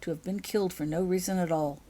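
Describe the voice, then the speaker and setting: Low-pitched woman reading a story. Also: steady tone, fast talker, dark tone. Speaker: author reading own story. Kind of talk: scripted audiobook narration.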